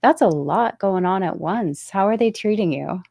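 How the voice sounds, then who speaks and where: A woman's voice: medium pitch, animated, normal speed, vocal fry, a little mellow, no echo, clean studio sound. A woman hosting a casual interview and chat about books. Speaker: host of a book interview show.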